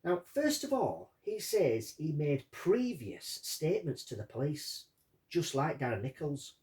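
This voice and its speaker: A man reading out a witness statement and commenting on it as a true-crime host, in deliberate short phrases. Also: on the high side, big pitch swings, darkish tone. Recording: distant from the microphone.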